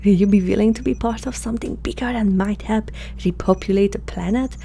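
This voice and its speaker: A woman playing a curious, flirtatious alien experimenter in an intimate ASMR roleplay, speaking haltingly in a soft, breathy murmur that often slips into whispers. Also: mid-range voice, a little hiss or hum, close to an everyday voice. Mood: coaxing. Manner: hesitant, halting, softly spoken, often slipping into whispers.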